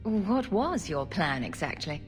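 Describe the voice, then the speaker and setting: A woman playing a composed, diplomatic female champion in a fantasy video game, measured and probing. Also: fairly low pitch, big pitch swings, faint music behind. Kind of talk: video game cutscene acting.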